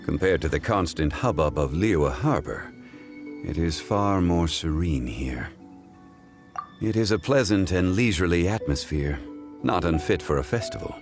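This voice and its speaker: A man voicing an ancient, wise, scholarly gentleman in a video game cutscene, speaking in a formal, measured and reflective way. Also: sits low, animated, over music. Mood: nostalgic, serene. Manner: formal, measured, eloquent, contemplative.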